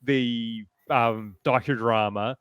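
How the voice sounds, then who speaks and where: A man speaking as a panelist in casual discussion, halting as he thinks aloud. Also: mid-range voice, fairly even intonation, clear, resonant tone, no room sound, dull audio.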